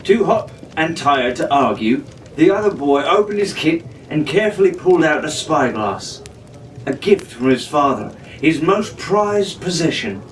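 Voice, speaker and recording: A man's voice: on the high side, sing-song, a little slow. A man narrating a pirate adventure story in a theatrical, in-character way, as a storybook reader. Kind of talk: scripted dramatic narration reading a children's pirate novel. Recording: roomy, distant mic with faint noise.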